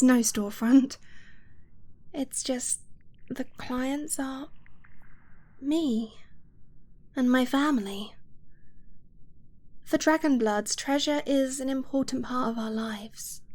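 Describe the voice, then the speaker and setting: A woman playing an affectionate, earnest dragon-blooded girlfriend in an ASMR romance roleplay, speaking softly and haltingly, often dropping to a whisper. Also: fairly high pitch.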